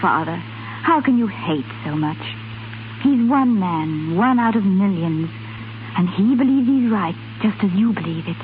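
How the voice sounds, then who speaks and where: A woman's voice: mid-range voice, expressive, unhurried, close to an everyday voice. Actress doing young lady, compassionate, earnest, old 1930s radio play, thin hissy sound. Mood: anxious, pleading. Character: earnest young English gentlewoman, compassionate and strong-willed. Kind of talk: 1930s radio drama acting. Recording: hissy, narrow-band 1930s radio recording.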